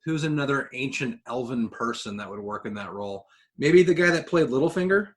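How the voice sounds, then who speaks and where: A man with a mid-pitched voice co-hosts a casual podcast. He talks informally and with enthusiasm, pitching ideas and tossing in fan asides. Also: expressive, a little fast. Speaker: podcast co-host.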